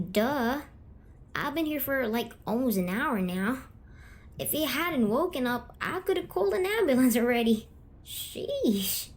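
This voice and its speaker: A woman's voice: high voice, voice pushed up. A woman playing a worried, caring young girlfriend in an ASMR roleplay, talking in a colloquial, mildly exasperated, fussing way.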